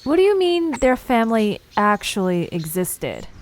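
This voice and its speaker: A woman's voice: fairly high pitch, big pitch swings, unhurried, slight background noise. A woman playing a nervous, curious young woman in an audio drama, flustered and stammering as she talks. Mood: puzzled, uneasy.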